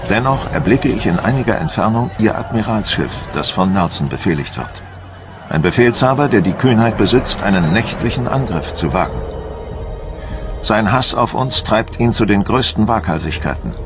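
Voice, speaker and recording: An actor playing a proud, embittered French admiral, solemn and theatrical, in a dramatised documentary monologue. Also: male voice, fairly low pitch. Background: music.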